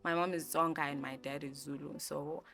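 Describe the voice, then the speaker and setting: A South African woman in her twenties, talking in a soft, reflective way with many fillers and restarts during an interview. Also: deep voice, fairly even intonation, moderate pace, creaky. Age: 20s. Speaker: interviewee, graphic designer. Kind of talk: relaxed personal interview. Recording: music bed playing behind voice.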